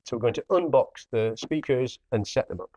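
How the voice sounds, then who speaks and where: A man's voice: mid-range voice, animated, brisk, dull audio. A man giving a casual product demonstration as a hi-fi dealer, plainly explaining each step as he works. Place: indoor hi-fi showroom.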